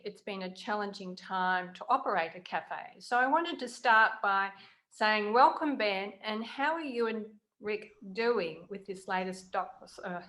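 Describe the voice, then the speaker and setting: A woman's voice: mid-range voice, a bit bright. Woman hosting a conversational interview. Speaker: interviewer and author introducing a guest.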